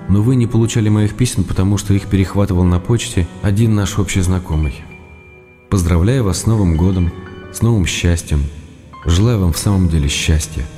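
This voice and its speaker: A gently ironic writer, homesick and unwell, writes tender letters from afar, voiced by an actor in a radio play. His very low voice is dark and mellow, unhurried and wry, over faint music. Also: natural voice. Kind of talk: radio drama letter reading.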